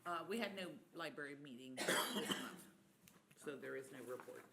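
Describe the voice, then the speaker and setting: A man's voice: fairly high pitch, normal speed, creaky. A man on a borough council, speaking plainly and directly during a meeting. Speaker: council member. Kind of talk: casual meeting discussion. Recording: noisy meeting room audio.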